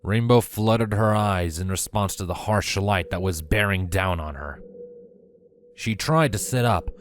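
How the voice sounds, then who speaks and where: A man's voice: sits low, noisy background. A man reading scripted story narration as the narrator of a fan fiction tale. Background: faint music.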